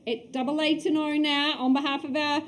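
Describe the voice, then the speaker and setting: A woman calling bids at a property auction in quick, clipped calls. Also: high voice, level tone.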